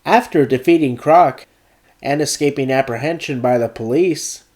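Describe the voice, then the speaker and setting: A man recovering from a cold, hosting a video essay and narrating a scripted game review in a measured, deliberate way. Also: medium pitch, background noise.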